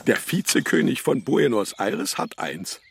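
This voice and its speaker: An actor in a children's radio play playing a sly, worldly-wise older seafarer who teases and needles in sayings. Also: male voice, mid-range voice, big pitch swings, unhurried, gravelly, breathy edge, exaggerated voice. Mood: teasing. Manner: teasing, needling, theatrical, speaks in sayings.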